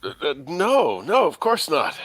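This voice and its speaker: A man's voice: fairly high pitch, huge pitch swings, a little slow, a bit bright, full character voice. A man reading the part of a casual, eager, slightly flustered teenage boy at an amateur table read.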